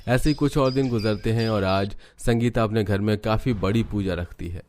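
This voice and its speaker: A man's voice: lowish voice, moderate pace, darkish tone, slight background noise. A man reading scripted narration for a family drama cartoon. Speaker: story narrator.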